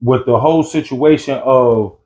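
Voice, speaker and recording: A man's voice: mid-range voice, moderate pace, darkish tone. A man interviewing a rapper, asking long rambling questions with restarts in casual slang. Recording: dull lo-fi sound.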